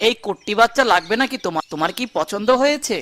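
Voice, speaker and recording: A woman voicing a caring young guardian in a children's cartoon, coaxing and gently teasing, with a Bangladeshi accent. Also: mid-range voice, animated, fast, slight background noise, close to an everyday voice. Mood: reassuring.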